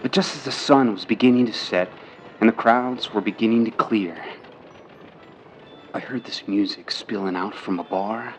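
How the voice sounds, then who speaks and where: An actor playing an earnest, boyish young sailor son in a period radio drama, speaking in a halting way. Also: male voice, on the low side, faint music behind, close to an everyday voice. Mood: excited wonder. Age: young adult.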